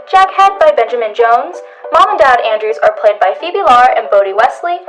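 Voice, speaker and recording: A woman's voice: on the high side, a bit bright, poor audio. A young woman reading scripted radio credits as the announcer. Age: young adult.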